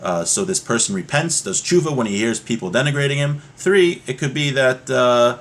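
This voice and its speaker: A man's voice: medium pitch, lively intonation, moderate pace, fairly bright tone, slight background noise. A man teaching a Torah class in a conversational, explanatory way, moving between reading Hebrew text and explaining it informally, often checking in with the listener.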